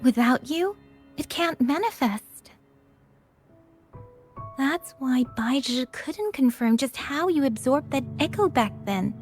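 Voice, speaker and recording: Helpful young game girl, high voice, patient explainer, bit of music behind. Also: expressive, takes it slowly, long pauses, a little mellow. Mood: patient, explaining. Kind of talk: scripted video game dialogue, explaining.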